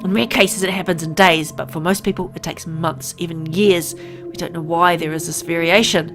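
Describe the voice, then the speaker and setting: A woman's voice: lowish voice, fairly even intonation, normal speed, music in the background, lightly acted. A woman voicing a frank, secretive medical insider in a visual novel, explaining in an earnest, conspiratorial way. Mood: frank, urgent.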